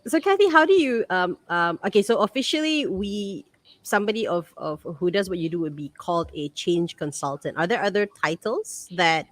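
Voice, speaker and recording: A woman with a Malaysian English accent hosting a relaxed livestream chat. Her voice sits a little low, and she talks in a friendly, rambling way full of fillers. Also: normal speed. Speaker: livestream host. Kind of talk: casual livestream interview.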